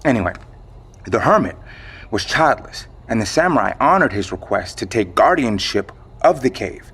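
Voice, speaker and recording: A man playing a wry, measured storyteller in an audio drama, with a gravelly voice and suspenseful pauses. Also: on the low side, very animated, a bit bright, exaggerated voice. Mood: musing. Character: measured man recounting a secret legend, wry.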